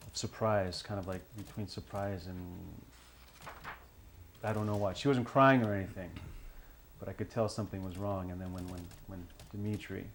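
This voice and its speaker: A man's voice: deep voice, steady tone, normal speed, natural voice. An actor playing a shaken, hesitant man who gives a witness account in a drama, stumbling and repeating his words. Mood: uneasy, hesitant. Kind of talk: acted police interview testimony. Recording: background noise with keyboard typing.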